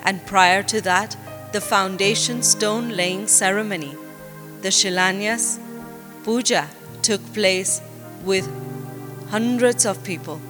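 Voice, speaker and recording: A documentary voice-over narrator speaking slowly and deliberately, with reverb. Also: medium pitch. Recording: reverberant with music behind.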